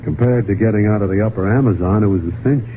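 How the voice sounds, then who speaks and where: Through a tinny, hissy vintage radio recording comes an actor as a hard-boiled, embittered husband. His voice sits low, and he talks in short, sardonic, suspicious lines. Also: quick pace, natural voice. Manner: clipped, sardonic, tough-talking. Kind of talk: old-time radio mystery acting.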